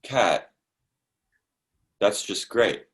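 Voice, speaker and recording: An actor plays a frank, awkward friend with money to invest in a play reading. The voice is a low man's voice, wry and conversational, stumbling toward tact. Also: lively intonation, extremely slow. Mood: flustered. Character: blunt, awkwardly tactful male investor friend.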